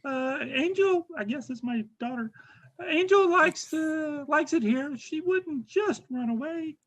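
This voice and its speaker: A woman playing a distressed, melodramatic mother in a comic dramatic reading, pleading in a halting way. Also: sits high, animated, lo-fi sound. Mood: self-pitying.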